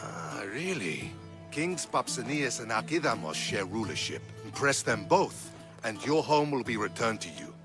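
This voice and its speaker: An actor playing a loyal, diplomatic Spartan general in a video game, measured in manner. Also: male voice, mid-range voice, very animated, over music. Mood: advising, cautionary. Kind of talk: dramatic video game acting.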